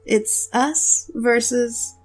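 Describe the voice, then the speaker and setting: A woman's voice: fairly high pitch, very slow, faint music behind, low-quality recording. A woman voicing a mischievous, bossy boy prince with an accusing, questioning manner, in an audio drama. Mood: stern, wry.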